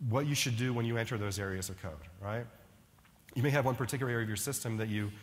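A man's voice: on the low side, long reverb tail. A middle-aged man giving a conversational conference keynote in a large ballroom, often checking in with "right?". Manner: conversational, frequent "right?" and "like" fillers. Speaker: keynote speaker.

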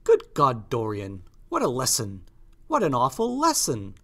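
A man voicing a horrified, pleading gentleman painter in an agitated, rushed, dramatised novel reading. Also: mid-range voice, huge pitch swings, heavily acted. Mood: appalled.

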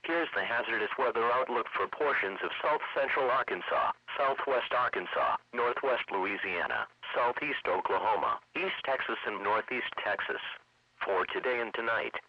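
A man's synthetic voice reading a scripted weather forecast in a measured, impersonal way. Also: medium pitch, no room sound. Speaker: weather radio announcer. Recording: dull lo-fi weather radio broadcast.

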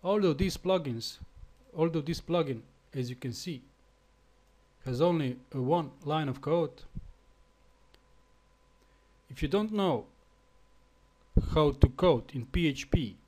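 Slovenian man giving a halting tutorial. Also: a little high, mellow. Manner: halting, with fillers and restarts. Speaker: web developer. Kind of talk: screencast tutorial.

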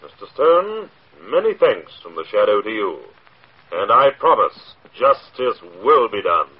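Casting: mocking, menacing phantom avenger, low and husky, filtered vintage radio drama. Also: male voice, sing-song, measured pace, full character voice. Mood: sardonic, ominous. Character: sinister, mocking, invisible male avenger of crime. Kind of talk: 1940s radio acting. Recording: muffled 1940s radio recording.

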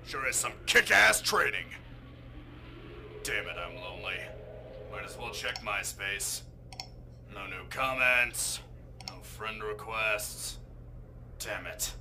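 A man's voice: fairly low pitch, animated, creaky, background noise, heavily acted. A man voicing a lonely, grumpy, sarcastic green-skinned alien warrior in a comedic anime parody. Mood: self-pitying. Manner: sarcastic, self-pitying, deliberate.

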